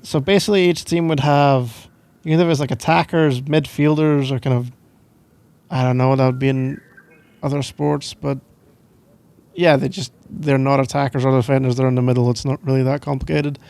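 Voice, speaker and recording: Gravelly Scottish man, close and dry. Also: mid-range voice, darkish tone, no echo. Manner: playful, teasing, rambling. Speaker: ASMR roleplay performer. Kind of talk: casual boyfriend roleplay ASMR. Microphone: close microphone, dry sound.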